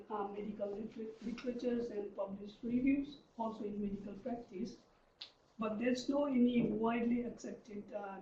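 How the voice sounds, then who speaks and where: A man in his thirties from Nepal giving an academic research presentation, pausing often with um and uh. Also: sits high, warm tone, a little hiss or hum. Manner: hesitant, frequent um and uh fillers. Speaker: research lecturer. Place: lecture room. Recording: distant, dull, roomy lecture recording.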